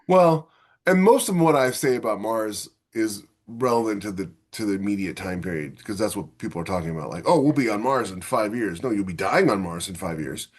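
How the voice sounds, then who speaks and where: A man's voice: on the low side, lively intonation, fairly quick, slightly rough. A man co-hosting a podcast, giving his opinions in casual conversational discussion. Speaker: podcast co-host.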